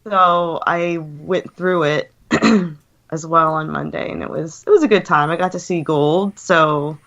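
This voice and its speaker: An American woman with a cold, chatting casually on a radio show, speaking with fillers and soft laughs. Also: sits low, fairly even intonation, unhurried, creaky. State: sick with a cold, deeper voice. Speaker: guest co-host. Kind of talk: casual chat. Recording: lo-fi online radio call.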